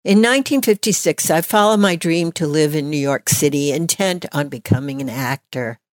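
A woman's voice: low-pitched, moderate pace, dry recording. A woman in her eighties reading her own written personal story aloud as a storyteller. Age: 80s.

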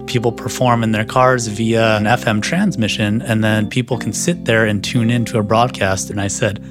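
A man's voice: fairly low pitch, little pitch movement, normal speed, over music. A man from Chicago, an artist and designer, talking at length in an interview with many fillers. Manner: chatty, lots of fillers. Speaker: guest, artist. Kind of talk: interview talk.

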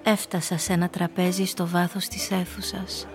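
An actress playing a cynical, seductive femme fatale, confessing her story in an intimate, breathy way in a noir radio play. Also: on the low side, flat delivery, over music, lightly acted. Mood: sultry, self-assured. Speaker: narrator and lead character.

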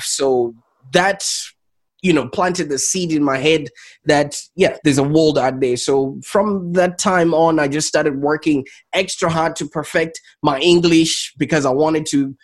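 Male interview guest, Zimbabwean accent. Higher-than-average pitch, conversational personal storytelling. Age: adult, 28 or older. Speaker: interview guest, entrepreneur and coach.

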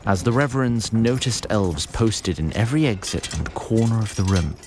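A man's voice: fairly low pitch, moderate pace, noisy background, lightly acted. A man playing a wry, chatty storyteller, narrating a fantasy audio drama in formal, wordy prose.

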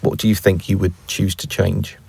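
A man's voice: sits low, little pitch movement, normal speed, gravelly, a little mellow, slightly rough. A man co-hosting a business podcast, talking loosely and rambling a bit in a casual chat. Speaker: podcast co-host.

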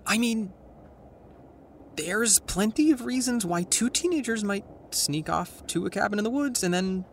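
A woman's voice: medium pitch, expressive, measured pace, slight background noise. A woman playing an anxious, wry, sarcastic teenager in a naturalistic audio drama. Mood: awkward.

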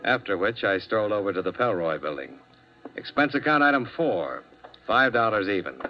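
A man's voice: fairly low pitch, very animated, moderate pace, soft background music. An actor playing a terse, hard-boiled male insurance investigator in a 1950s radio drama, narrating in clipped sentences. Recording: dull old radio recording.

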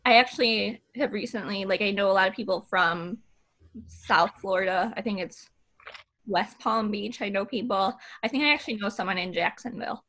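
Bright-voiced young American woman hosting. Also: medium pitch, moderate pace. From Michigan, United States. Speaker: podcast host. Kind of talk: casual interview chat.

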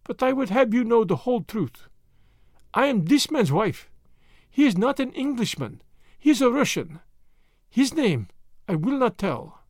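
Guy doing a dignified foreign lady from a storybook, high voice, accented, defiant and grave, swooping intonation. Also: big pitch swings, measured pace, long pauses, no echo, studio quality, heavily acted. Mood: solemn, urgent. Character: defiant, dignified foreign woman confessing, weary and scornful.

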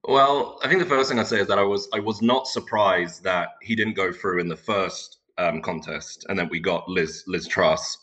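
A man who grew up speaking Turkish chats as an interview guest, his voice deep and husky. He talks haltingly, often circling back over the same word before going on. His tone is forceful and bright. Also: low-quality recording. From England. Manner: halting, often repeats words while thinking. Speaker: podcast guest. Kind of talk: casual interview conversation.

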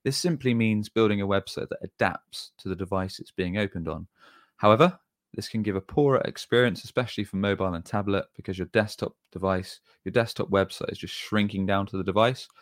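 A man's voice: lowish voice, fairly quick, no echo, studio quality. A man giving an explanatory tutorial as an agency presenter, talking informally and going through things step by step. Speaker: web design agency presenter.

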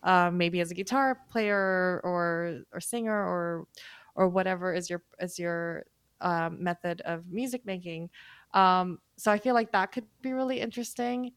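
A woman musician speaking as a guest in a casual panel talk, thoughtful and halting, with many fillers and restarts. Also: fairly low pitch, fairly even intonation, clear, resonant tone, dry recording, studio quality.

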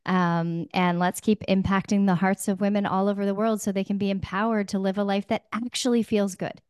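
North American woman co-host, chatty and emphatic, smooth clear voice, dry close sound. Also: mid-range voice, level tone, a little fast, darkish tone, no echo. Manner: chatty, emphatic, casual swearing. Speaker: podcast co-host. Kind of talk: casual podcast chat.

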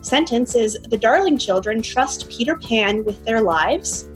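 A woman's voice: on the high side, animated, a little slow, faint music behind. A woman guest on a casual podcast, talking openly with frequent laughs and self-deprecating humor. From United States.

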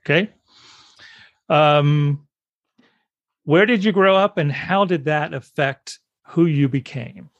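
A woman's voice: low-pitched, slow pace, pauses often, dry recording, dull audio. A woman hosting an interview, encouraging her guest and asking personal questions. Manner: encouraging, affirming. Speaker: interview host. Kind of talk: casual interview.

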